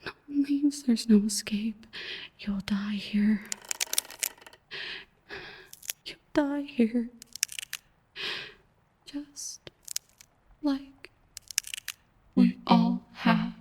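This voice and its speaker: A woman playing a haunting, accusing female voice in an ASMR horror roleplay, chanting repetitively in breathy whispers and tense murmurs. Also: on the high side, drawn-out words, long pauses, dark tone, slight background noise. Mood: frantic, doomed. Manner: breathy whispering that rises into frantic repeated chanting.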